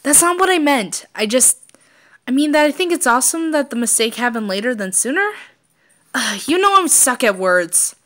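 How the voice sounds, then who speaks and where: An awkward, earnest young man from a fan fiction tale, as a female reader puts him on. He speaks in a halting, flustered way. The delivery is sincere and unpolished. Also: sits high, animated, fairly bright tone, pitched above the performer's own voice. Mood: flustered, insistent.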